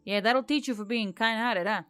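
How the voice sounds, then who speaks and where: Fantasy audiobook centaur, played by a woman: loyal female friend, higher register, rambling and teasing. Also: moderate pace, fairly bright tone, clear, resonant tone, faint music behind, lightly acted. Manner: chatty, rambling.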